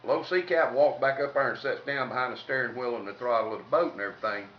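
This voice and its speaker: A man's voice: medium pitch, quick pace. A man with a Southern US accent telling humorous stories in a folksy, salty, colloquial way. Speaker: comedic storyteller. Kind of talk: informal humorous storytelling. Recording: roomy, distant mic, faint noise, dull sound.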